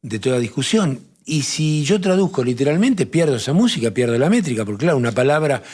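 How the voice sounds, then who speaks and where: A man with a Rioplatense accent explaining poetry and translation in a measured talk-show conversation, often restarting his phrases. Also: medium pitch, lively intonation, darkish tone, slightly rough. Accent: Rioplatense Spanish. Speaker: literature professor on a TV talk show.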